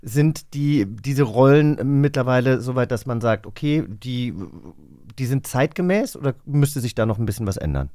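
A man with a mid-pitched voice and speech hosts a casual interview, very closely and dryly recorded. He sounds conversational, often searching for his words as he goes. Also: expressive, normal speed, dark tone, no room sound, clean studio sound. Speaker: radio magazine host, interviewer.

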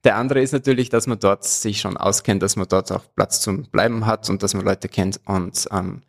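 Gravelly Austrian man, laid-back guest. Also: fairly low pitch, moderate pace. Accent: Austrian German. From Austria (Salzburg). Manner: relaxed, laughs often, restarts sentences. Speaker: guest illustrator. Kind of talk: casual interview chat.

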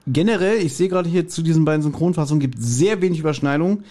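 Higher-pitched German man, teasing podcast co-host. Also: fast talker, dark tone. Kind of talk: casual chat, recapping an audio drama.

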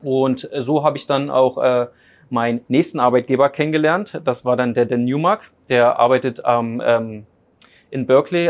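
A man from Berlin in his thirties chats about his path as a guest. He talks loosely with frequent fillers and restarts, in an ordinary mid-range voice. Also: medium pitch. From Berlin, Germany. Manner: rambling, many fillers and restarts. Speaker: data scientist guest. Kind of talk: casual interview chat.

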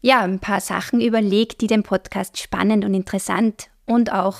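A slightly hoarse woman speaks into a podcast microphone in a studio. She co-hosts in a measured, friendly manner at a mid pitch. She fills her pauses with small hesitations. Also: steady tone. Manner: measured, friendly, uses fillers. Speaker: podcast co-host. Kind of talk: casual podcast chat.

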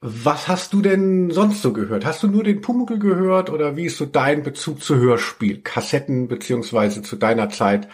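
A man's voice: fairly high pitch, expressive, normal speed, few pauses. Joking male podcast host. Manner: jokey, mock-serious, rambling. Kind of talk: casual podcast chat.

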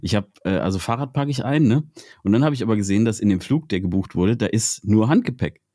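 A man's voice: lowish voice, expressive, fast talker, warm tone, dry recording, studio quality. A man with a cold, co-hosting a casual podcast chat in an easygoing, teasing way. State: has a cold. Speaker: podcast co-host.

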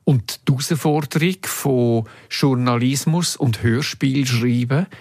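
A man hosting a conversational interview, putting his reflective questions in halting, wordy phrases. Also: medium pitch, a little mellow, no room sound. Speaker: interviewer, host.

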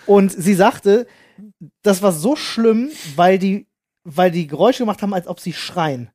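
A man's voice: high voice, unhurried, a little mellow, no room sound, clean studio sound. A young man chatting casually as a podcast co-host, colloquial and tentative, dropping in the odd English phrase. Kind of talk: casual chat.

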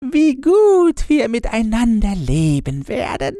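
A kindly old woman from a storybook, played by a male narrator, speaks far up in a high register. She is a harmless sorceress, tender and cooing, doting on whoever she talks to. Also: wildly swooping pitch, slow pace, warm tone, no room sound, clean studio sound, heavily acted.

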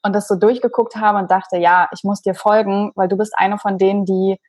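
A woman's voice: medium pitch, level tone, brisk, a little mellow, husky, no echo. A woman hosting a podcast, asking her guest friendly, encouraging questions in casual talk. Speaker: podcast host.

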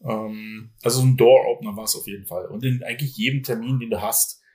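A man's voice: mid-range voice, steady tone, brisk, a little mellow. Rambling man, sports manager. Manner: rambling, lots of fillers and restarts. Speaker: general manager of a football team. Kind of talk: casual podcast conversation.

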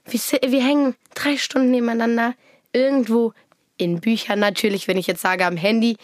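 A teenage girl with a cold-roughened, hoarse voice, hosting a casual chat and telling rambling, giggly stories. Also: fairly high pitch, fairly even intonation, fairly quick, dry recording, studio quality. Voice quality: scratchy voice. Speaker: podcast host.

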